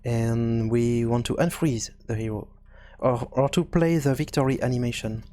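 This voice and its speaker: A man giving an informal software tutorial, thinking aloud in a halting, self-correcting way. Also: mid-range voice, fairly even intonation. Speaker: tutorial presenter.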